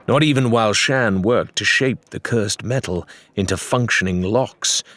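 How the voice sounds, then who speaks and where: A man's voice: lowish voice, fairly bright tone. A man reading an audiobook as narrator, in dramatic scripted storytelling.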